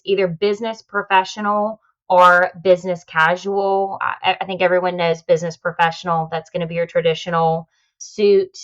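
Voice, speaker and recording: A woman guest on a podcast, calmly giving practical advice on public speaking in a polished, confident way. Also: lowish voice, muffled recording.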